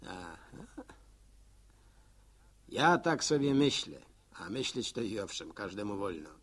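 A wry village postman muses aloud in a slow, homespun way. His husky voice rises and falls expressively as he goes. It is old radio-drama narration. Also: fairly low pitch, very animated, a bit bright, exaggerated voice. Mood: musing. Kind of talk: rural storyteller monologue in a radio drama.

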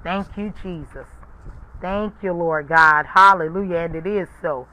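Male preacher on a prayer line, high-pitched and bright, fervent, forceful, repetitive praise and rebukes. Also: fairly even intonation, unhurried, no echo, rough recording.

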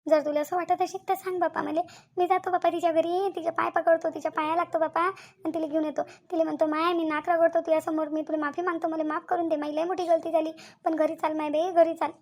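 A woman's voice: very high-pitched, little pitch movement, fast talker, a little mellow. A woman voicing a fretful middle-aged mother in a cartoon family drama, pleading plaintively in a Varhadi Marathi accent. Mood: anxious pleading. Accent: Varhadi Marathi from Vidarbha.

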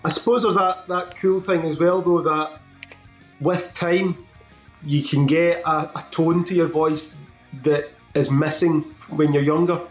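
A man with a high voice hosts a music interview from home. He takes his time building up each question. His voice comes through a roomy, echoey video call. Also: steady tone, a little slow. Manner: rambling, builds up questions at length. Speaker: interview host.

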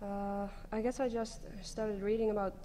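A woman's voice: mid-range voice, little pitch movement, normal speed, mellow. A young woman giving a casual, hesitant answer as a panelist at a conference. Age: young adult. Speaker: panelist, comic creator. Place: conference room stage. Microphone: table microphone on stand. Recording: echoey hall PA, background noise.

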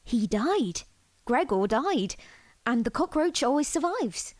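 A woman's voice: high-pitched, very animated, slow, a little mellow, no room sound, full character voice. A woman playing an earnest, indignant and melodramatic housemate in an audio drama. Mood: reproachful. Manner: melodramatic, accusing. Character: earnest, indignant, sentimental young female housemate.

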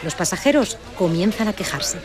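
A Spanish woman in her thirties reporting from a city bus with a handheld mic, asking lively questions. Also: mid-range voice, moderate pace, a loud crowd. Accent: Spanish from Spain. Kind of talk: on-the-street news report with voice-over. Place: city bus and bus stop, outdoors.

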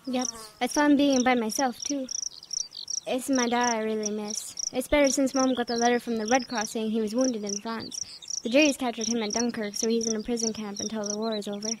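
A plucky wartime schoolboy played by a woman speaks high with an English accent. It is audio drama acting, with clearly audible noise behind the voice. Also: steady tone, fairly quick, natural voice. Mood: chatty, wistful. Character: plucky wartime British child, Nigel's school friend Brian.

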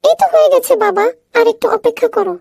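Squeaky, creaky little mother bird. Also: expressive, quick pace, a little mellow, slight background noise, full character voice. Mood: busy, motherly. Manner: scolding, complaining. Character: nagging tiny mother bird, fed up with her husband.

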